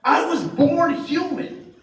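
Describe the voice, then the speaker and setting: A man giving a workshop to a live group, asking the audience many rhetorical questions and slowing down to stress key phrases. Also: extremely high pitch. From United States. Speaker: diversity trainer. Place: training room with tables. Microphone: distant mic picking up the room. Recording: very echoey room, speaker far from mic, faint noise.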